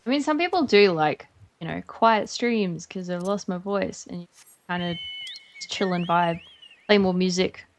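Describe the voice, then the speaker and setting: A woman's voice: fairly low pitch, normal speed. Chatty Australian woman streaming a game. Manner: chatty, rambling, lots of fillers. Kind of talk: casual stream chat.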